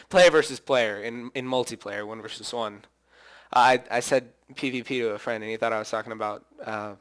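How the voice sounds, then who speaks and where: A man talks through his own match in a loose, wandering way, stopping to correct himself and think out loud. His voice sits at an ordinary pitch and comes through smooth and clear, with only a slight hoarseness left over from singing. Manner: rambling, thinks aloud, self-correcting. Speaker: gameplay commentator. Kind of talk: casual gameplay commentary.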